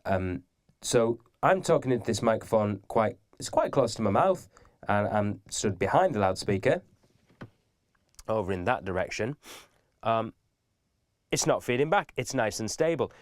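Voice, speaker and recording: A man's voice: lowish voice, very animated, normal speed, long pauses, dry recording. Man giving methodical tutorial, dry close sound. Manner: methodical, instructive. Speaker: instructor. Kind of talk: informal step-by-step video tutorial.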